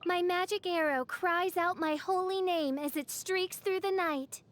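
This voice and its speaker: A high-voiced, pompous gothic princess. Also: female voice, steady tone, unhurried. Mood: melodramatic. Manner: pompous, archaic, grandiose phrasing. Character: haughty, theatrical teenage girl, self-styled gothic princess. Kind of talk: English video game character voice lines.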